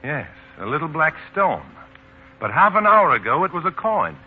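A man's voice: fairly high pitch, big pitch swings, normal speed, slightly rough. An actor playing an earnest, conflicted newspaperman in 1940s radio drama style. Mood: accusing. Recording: tinny, noisy 1948 radio broadcast.

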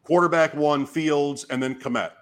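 A man, hoarse from talking, hosting a casual fantasy sports show and commentating in short, fragmented phrases as he thinks out loud. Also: on the high side, a little slow. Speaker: fantasy football show host giving draft advice. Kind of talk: casual talk, live draft commentary.

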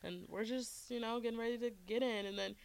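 A woman guest in a casual chat, outgoing and quick to laugh. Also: on the high side, steady tone, creaky, clean tone, dry recording, rough recording.

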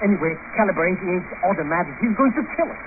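Flustered cowardly sidekick, high swooping voice, 1950 radio spy serial, very noisy recording. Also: male voice, expressive, racing. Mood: panicked. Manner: flustered, stammering, babbling. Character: excitable, cowardly, wisecracking comic sidekick to an agent. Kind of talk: 1950 radio drama acting. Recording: noisy, tinny old radio broadcast recording.

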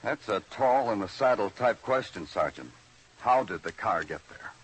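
A man's voice: on the low side, animated, normal speed, music in the background, close to an everyday voice, poor audio. A man playing a terse, hard-boiled police detective in an old radio drama. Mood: grim. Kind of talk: 1950s radio drama acting.

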